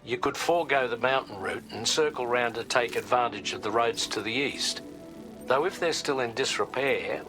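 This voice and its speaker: An actor playing a plain-spoken logistics advisor in a video game, briefing in a methodical, slightly folksy, instructive way. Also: male voice, medium pitch, close to an everyday voice.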